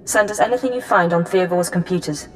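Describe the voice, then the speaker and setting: An actress playing a cool, businesslike female intelligence handler in a video game, giving briefings with crisp control. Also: lowish voice, brisk, close to an everyday voice, poor audio. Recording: music under the voice.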